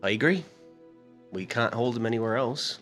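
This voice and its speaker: Male tabletop player, average pitch, halting conversational delivery, faint music bed. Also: fairly bright tone. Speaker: player in a tabletop game show. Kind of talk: casual role-play chat. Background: background music.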